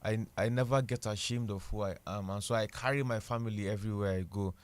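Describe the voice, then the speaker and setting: A young man with an Igbo Nigerian accent, a guest in a relaxed radio interview, thinking aloud as he talks. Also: fairly low pitch, fast talker. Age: young adult. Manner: thoughtful, repeats words while searching. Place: radio studio.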